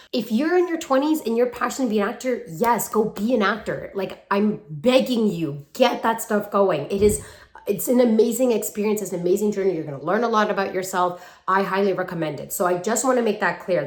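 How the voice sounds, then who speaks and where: A woman in her twenties giving casual talking-head advice to viewers in a chatty, emphatic, affectionate way, recorded on a handheld phone. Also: fairly low pitch. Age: 20s. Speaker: vlogger and acting coach. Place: room at parents' home, brick wall. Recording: roomy echo from a hard-walled room.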